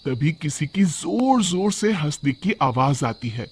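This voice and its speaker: A man narrates a children's cartoon tale with dramatic, very animated delivery. His voice sits fairly high, and there is clear noise behind it. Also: measured pace. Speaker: story narrator. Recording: clearly noisy recording.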